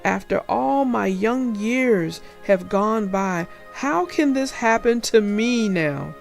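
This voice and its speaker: Narrator woman as elderly storybook wife, incredulous, slightly raised pitch, drawn-out words. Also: lively intonation, gravelly, soft background music.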